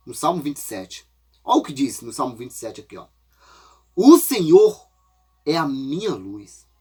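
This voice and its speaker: A man preaches in a folksy, conversational way, his voice congested from a cold. He swoops widely up and down in pitch and sounds husky. Faint music plays in the background. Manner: folksy, repeats "né?" often. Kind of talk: informal sermon.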